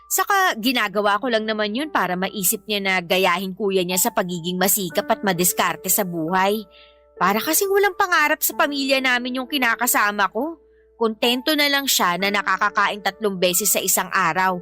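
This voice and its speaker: A woman's voice: medium pitch, expressive, normal speed, sharp tone, soft background music. A woman playing an exasperated young mother who complains emphatically about her partner in a Filipino radio-style drama. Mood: aggrieved, frustrated.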